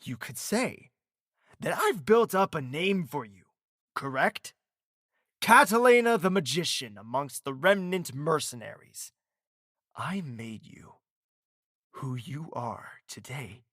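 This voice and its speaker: A man playing a proud, domineering but guarded prince in an audio drama, with a deliberate, clipped manner. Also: a little high, big pitch swings, fairly bright tone, no echo, clean studio sound, full character voice. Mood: cold, commanding.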